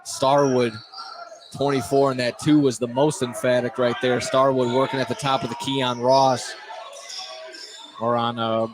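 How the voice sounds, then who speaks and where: Male play-by-play announcer, mid-pitched, excitable, live basketball radio, noisy gymnasium ambience. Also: normal speed. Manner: excitable, exclaims at big plays. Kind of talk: live radio sports commentary. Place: indoor high school gymnasium, courtside. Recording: noisy live gym sound. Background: loud gym ambience.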